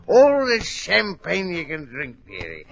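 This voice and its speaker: An actor playing a rough, boozy ex-convict valet, slangy and swaggering, in a 1940s radio drama. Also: male voice, a little high, wildly swooping pitch, slow, soft background music, exaggerated voice. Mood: boozy, jovial. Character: rough, boozy working-class ex-convict valet, blustering villain. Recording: dull old radio recording.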